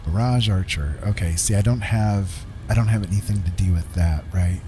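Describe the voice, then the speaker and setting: A man's voice: deep voice, moderate pace, over music. A middle-aged man livestreaming a game, chatting casually in a friendly, musing, polite way. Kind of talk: casual chat while gaming.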